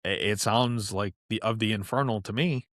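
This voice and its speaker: A man with a lowish voice chats through his stories as a podcast guest, loose and unpolished, leaning on "like" and dropping swear words freely. The sound is dry and close, with no room around it. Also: moderate pace, fairly bright tone, no room sound. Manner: loose and chatty, heavy on "like", frequent swearing. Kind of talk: casual storytelling chat.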